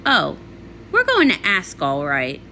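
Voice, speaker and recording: A woman's voice: on the low side, wildly swooping pitch, takes it slowly, vocal fry, bright, forceful tone, slight background noise, voice pushed down, full character voice. A woman voicing a blunt, determined young female cartoon pony in a comic read-along.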